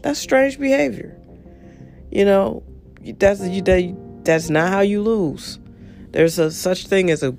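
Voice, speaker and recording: A woman hosting her show alone, husky and a little nasal from congestion. She talks bluntly and with energy, and she swears casually. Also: medium pitch, darkish tone. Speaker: show host. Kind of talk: opinionated solo monologue.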